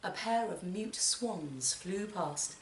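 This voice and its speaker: Woman, live story reading, measured and expressive, deliberate pauses, roomy sound, distant mic. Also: mid-range voice, slight background noise. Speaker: actor reading a short story. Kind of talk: dramatic literary reading to a live audience. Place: performance space in a library centre.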